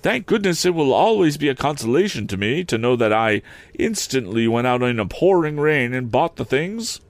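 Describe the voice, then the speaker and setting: A man voicing a prim, self-pitying older lady who complains in a high, drawn-out, affected voice. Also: big pitch swings, dry recording. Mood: indignant. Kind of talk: audiobook character reading.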